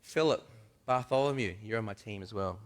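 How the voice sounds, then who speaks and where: A man voicing a calm, gentle man who calls followers to join him, speaking softly and patiently in a dramatic monologue. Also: on the low side, a little fast, long reverb tail, close to an everyday voice. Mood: summoning. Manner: soft, patient, reassuring. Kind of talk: dramatic first-person monologue.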